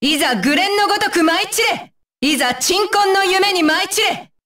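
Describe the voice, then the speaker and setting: A woman's voice: very high voice, expressive, normal speed, sharp tone, full character voice. A woman voicing a stern, dutiful young female ninja bodyguard for an anime game, with clipped, commanding and blunt phrasing. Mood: battle-ready, forceful. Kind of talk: Japanese anime game voice lines.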